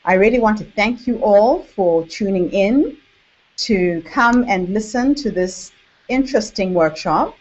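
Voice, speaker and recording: A woman with a Zimbabwean accent hosts an online workshop, speaking in a measured, deliberate way with emphatic repetition. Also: mid-range voice, a little mellow. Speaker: workshop host and author coach. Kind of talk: online workshop presentation to camera. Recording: dull, lo-fi webinar audio.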